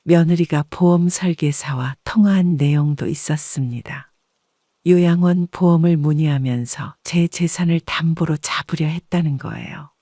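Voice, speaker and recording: A woman reading a first-person story as an audiobook narrator in a measured, composed way. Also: deep voice, warm tone, no echo, studio quality.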